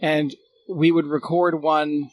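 Higher-voiced man reminiscing. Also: flat delivery, slow, a little mellow, low-quality recording. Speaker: guest, former radio producer. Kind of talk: casual reminiscing conversation.

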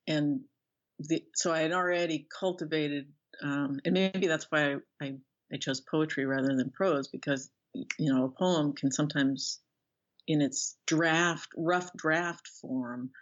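A middle-aged American woman being interviewed as an author, speaking thoughtfully and haltingly as she searches for words. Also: low voice, lo-fi sound. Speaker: interview guest, author and professor. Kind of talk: reflective interview conversation.

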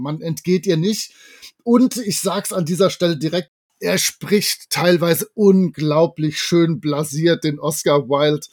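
A man's voice: a little high, a little mellow. A man chatting enthusiastically and casually as a co-host on a fan podcast. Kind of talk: casual fan podcast chat about audio dramas.

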